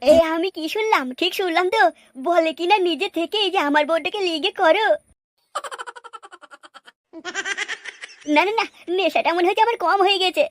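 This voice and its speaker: A squeaky, extremely high-pitched little male cartoon ghost. He talks quickly in an indignant, whiny way, lecherous and cowardly at once. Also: fairly bright tone, a little hiss or hum. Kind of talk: cartoon dialogue.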